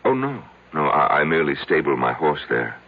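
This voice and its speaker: Very deep, gravelly, halting broken man. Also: very animated, full character voice. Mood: quiet, matter-of-fact. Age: middle-aged. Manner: halting, hesitant, repeating himself. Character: petty, self-loathing, lonely, betrayed husband confessing to his psychiatrist. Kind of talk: radio drama acting. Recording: tinny, band-limited old radio broadcast.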